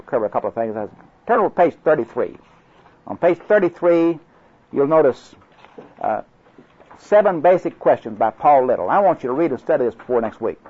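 A man's voice: medium pitch, husky. An older man from Oklahoma with an Oklahoma accent, giving a folksy, joke-filled training talk to class instructors, rambling through anecdotes with many restarts. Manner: storytelling, joking, drawling, rambling restarts. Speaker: evangelistic crusade class instructor. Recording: dull lo-fi recording.

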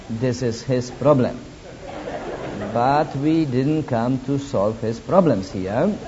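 Slow Polish-accented man preaching, lo-fi. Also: medium pitch, dark tone. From Poland. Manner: deliberate, halting non-native phrasing with wry asides. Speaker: guest preacher. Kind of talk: sermon with personal testimony. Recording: dull lo-fi recording with faint hiss.

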